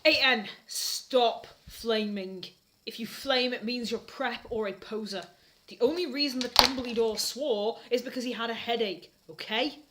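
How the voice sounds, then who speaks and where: British woman reading fanfic deadpan for laughs, kinda high voice, slight background noise. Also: unhurried. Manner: straight-faced. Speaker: host and reader.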